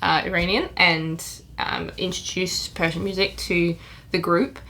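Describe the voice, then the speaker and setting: A young woman co-hosting a podcast, talking in a warm, effusive way with lots of hesitations. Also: fairly low pitch, fairly even intonation, measured pace, poor audio. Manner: effusive, hesitant with many ums. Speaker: podcast co-host. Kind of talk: casual review chat.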